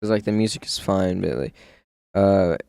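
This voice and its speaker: A man's voice: low-pitched, moderate pace, creaky, darkish tone, dry recording, studio quality. A male streamer chatting casually while building in a game, muttering to himself and calling his viewers "bro".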